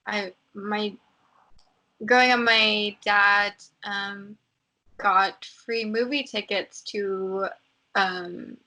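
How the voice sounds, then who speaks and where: Bright-voiced young American woman. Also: medium pitch, steady tone, drawn-out words, rough recording. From United States. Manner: chatty, lots of likes and ums. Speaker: guest. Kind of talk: casual chat.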